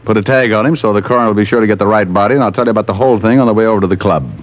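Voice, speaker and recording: An actor playing a shrewd, breezy private detective with a sardonic, casual manner in an old-time radio drama. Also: male voice, fairly low pitch, very fast, music in the background, close to an everyday voice. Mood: brisk, casual. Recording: muffled old radio recording.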